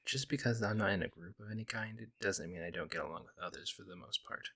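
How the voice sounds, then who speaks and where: A man playing a friendly young werewolf, a lone wolf who talks casually and teases gently in an ASMR audio roleplay. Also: fairly low pitch, fast talker, vocal fry, heavily acted. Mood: casual, musing. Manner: casual, hesitant musing, gently teasing. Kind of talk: ASMR audio roleplay, intimate conversational acting.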